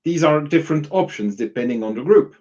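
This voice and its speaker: A man's voice: on the high side, lively intonation, brisk, darkish tone, muffled recording. A man giving informal, explanatory advice as a workshop facilitator in a live online discussion, illustrating points with example questions.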